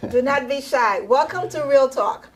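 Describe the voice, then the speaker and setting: A woman's voice: sits high, normal speed, very noisy. A woman hosting a talk show interview, playful and teasing as she questions her guest. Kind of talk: lively interview.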